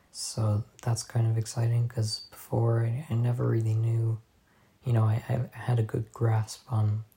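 Hoarse, mellow-voiced man on a phone mic. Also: lowish voice. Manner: soft-spoken, halting, drifting off mid-sentence. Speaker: podcast host. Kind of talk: casual solo podcast Q&A. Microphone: phone voice memo.

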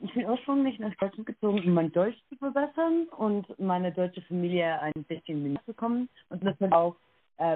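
A young Irish woman with an Irish accent, answering interview questions hesitantly, with many filler sounds and self-corrections. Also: fairly low pitch. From Ireland. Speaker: interview guest. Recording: tinny phone-like line, dry.

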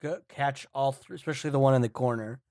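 A woman's voice: very deep voice, fast, mellow, no room sound, studio quality. A woman co-hosting a podcast, thinking aloud in a casual, informal chat about comics. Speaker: podcast co-host. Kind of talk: casual comic book discussion.

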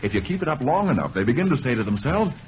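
A man's voice: medium pitch, sing-song, rapid-fire, a little hiss or hum, natural voice. An actor plays a cocky schemer in an old radio play, slangy and sure of himself. His voice moves freely up and down on a muffled recording. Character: cocky, bossy small-time schemer lecturing his pal. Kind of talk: 1930s radio comedy acting.

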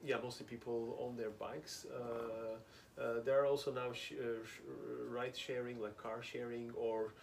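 Gravelly-voiced man from Turkey, hesitant. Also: mid-range voice, little pitch movement, a little hiss or hum. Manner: hesitant, many "uh" pauses and restarts. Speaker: podcast guest. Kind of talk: casual interview chat.

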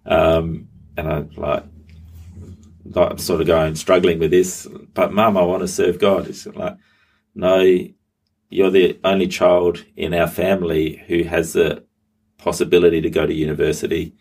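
A man's voice: deep voice, flat delivery, a little slow, darkish tone. A middle-aged man, a pastor, telling his story as an interview guest, hesitant with many ums and restarts. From Australia. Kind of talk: interview guest, personal testimony.

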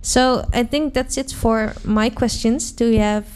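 A woman's voice: fairly high pitch, steady tone, measured pace, a little mellow, a little hiss or hum. A woman hosting a casual interview, asking friendly and encouraging questions.

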